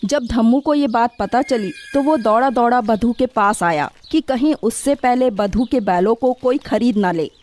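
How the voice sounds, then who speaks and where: A woman's voice: a little high, normal speed, few pauses, darkish tone. A woman narrator reading a scripted moral story for children.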